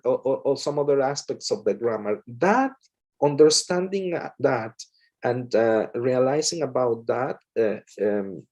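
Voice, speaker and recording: Husky, hesitant male lecturer. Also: medium pitch, mellow. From Mexico. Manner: hesitant, many fillers and restarts. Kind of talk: conversation with a colleague.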